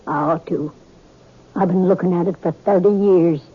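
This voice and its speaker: Husky old frontier woman. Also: on the low side, fairly even intonation, moderate pace. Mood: knowing. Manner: knowing, plain-spoken. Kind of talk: 1950s radio western acting. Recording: tinny, narrow old radio broadcast.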